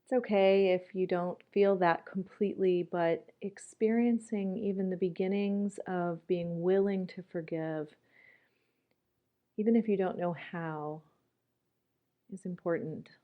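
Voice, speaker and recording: A woman leading a guided meditation in a calm, gentle manner, leaving long pauses as she goes. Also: fairly low pitch, narrow, tinny audio.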